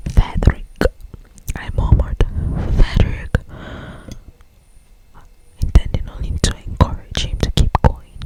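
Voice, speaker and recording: An ASMR creator reading a romance novel aloud in a breathy whisper, with lingering phrasing and occasional asides. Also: low-quality recording. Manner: slow, lingering phrasing with repeated words and asides.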